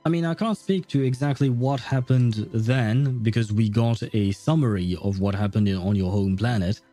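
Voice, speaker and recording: A man's voice: lowish voice, moderate pace, warm tone. A man livestreaming a game, explaining and commenting in a casual, informal, opinionated way. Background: game music.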